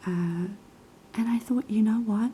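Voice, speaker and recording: Young NZ woman, raspy post-sickness whisper, slow ASMR delivery, dark mellow tone. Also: mid-range voice, slight background noise, poor audio. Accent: New Zealand. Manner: soft, unhurried whispering with many ums. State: hoarse after being sick. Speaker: ASMR creator. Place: kitchen of a quiet flat.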